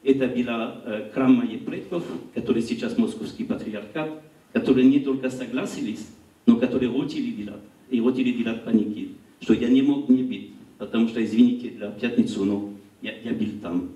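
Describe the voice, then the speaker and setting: A middle-aged man with a French accent gives a public talk in a language not his own, stopping often to find the next word. His voice is mellow and dark, held in a handheld mic. The sound is roomy and comes from across a small gallery hall. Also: mid-range voice, distant mic. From France. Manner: halting, searching for words. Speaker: lecturer. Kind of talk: public lecture. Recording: roomy hall recorded from afar.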